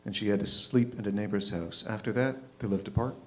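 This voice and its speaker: A man reading a literary short story aloud in a measured, expressive narrating style. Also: on the low side. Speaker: reader in a readers' theatre.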